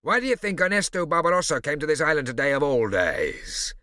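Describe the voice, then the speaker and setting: A burly, blustering bully, as a man acts him out, loud and sharp-edged, with a sneer and a roar in his voice. He swings widely up and down in pitch. The sound is dry and close. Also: big pitch swings, brisk, no room sound. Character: burly menacing red-bearded bully man. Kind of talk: audiobook character voice.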